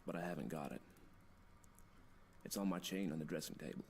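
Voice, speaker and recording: A low male voice from an actor plays a wary, desperate but courteous fugitive in a visual novel. His speech is measured and old-fashioned, with faint noise in the recording. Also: darkish tone. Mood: earnest, wary.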